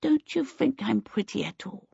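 A woman voicing a coy little boy in a storybook, with a sweet, soft lisp and an earnest, wheedling way. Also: mid-range voice, animated, gravelly, slightly rough, no room sound, lo-fi sound, full character voice. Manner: coy, drawn-out, devout politeness.

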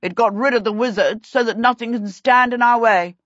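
A woman's voice: a little high, a little fast, no room sound, highs cut off, pitched above the performer's own voice, natural voice. A woman voicing a haughty, menacing prince in a storybook, imperious and theatrical. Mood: demanding. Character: haughty, menacing villain prince, male, dramatic and disgusted.

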